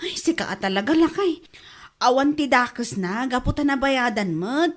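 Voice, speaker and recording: A woman plays a nagging, gossipy wife in an Ilocano radio comedy. Her voice is high and swings widely in pitch, with an Ilocano Filipino accent and an exasperated edge. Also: expressive, normal speed.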